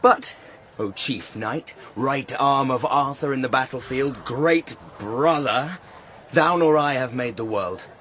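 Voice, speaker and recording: A man's voice: medium pitch, slow, fairly bright tone, slight background noise, pitched above the performer's own voice. A man voicing a proud, blunt, scornful knight in archaic, declamatory verse storytelling. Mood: defiant, blunt.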